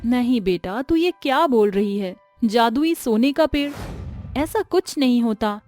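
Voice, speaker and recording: A poor, worried village mother from a moral storybook tale, played by a woman. Her voice is high and her manner anxious and questioning, with light music behind it. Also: expressive, a little slow, darkish tone. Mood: doubtful, worried.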